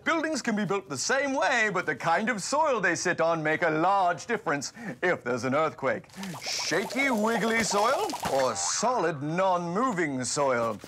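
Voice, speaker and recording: A man playing a jolly, excitable TV chef, presenting to children in a playful, theatrical, exclamatory way. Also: high-pitched, unhurried, background noise, pitched below the performer's own voice. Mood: cheerful. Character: excitable, jolly male TV cooking-show chef. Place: kitchen set, indoors.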